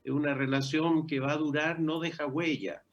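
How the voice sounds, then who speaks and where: A Chilean man with a Chilean accent, talking casually on a radio discussion show and pausing often with fillers. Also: a little high, fairly even intonation, highs cut off. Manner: conversational, many hesitations and fillers.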